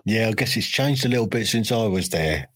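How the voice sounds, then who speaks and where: A man's voice: on the low side, expressive, a little fast, a bit bright, slight background noise. A man, interviewing someone and often repeating words as he works out his questions. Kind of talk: casual conversational interview.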